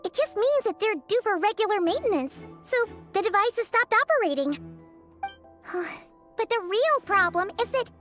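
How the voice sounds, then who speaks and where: Little earnest fairy sidekick for a game, super high squeaky kid voice, music behind. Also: female voice, lively intonation, moderate pace, a bit bright. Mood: earnest, explaining. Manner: refers to herself in third person, emphatic. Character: small childlike floating fairy companion, chirpy and earnest. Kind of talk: video game voice acting.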